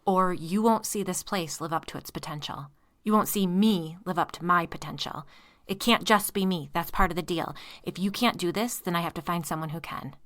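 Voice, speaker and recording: A woman reading a controlling, manipulative husband in an audiobook, calm and coaxing, turning to snarls. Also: on the low side, normal speed, no room sound, clean studio sound, natural voice. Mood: cold. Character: controlling, manipulative husband, steady-voiced man.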